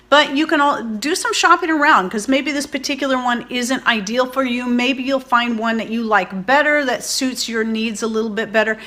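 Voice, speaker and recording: A woman's voice: on the high side, bright, forceful tone. A woman giving a casual product review as a video host, in a chatty, informal, rambling way. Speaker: host of a homesteading and preparedness video channel. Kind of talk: casual product review vlog, talking to viewers.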